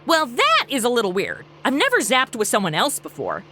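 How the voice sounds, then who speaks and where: A woman's voice: on the high side, wildly swooping pitch, fairly quick, pushed and bright, a little hiss or hum, heavily acted. A woman playing a brash, flippant young woman from the future in a comedy audio drama, with breezy, sarcastic modern slang. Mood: casual, wry.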